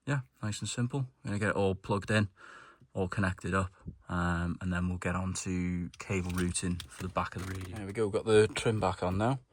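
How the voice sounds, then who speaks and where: Low-voiced man talking through DIY work. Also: steady tone, fairly quick, no room sound. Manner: informal, thinking aloud as he works. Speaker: DIY car mechanic presenter. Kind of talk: casual hands-on how-to narration.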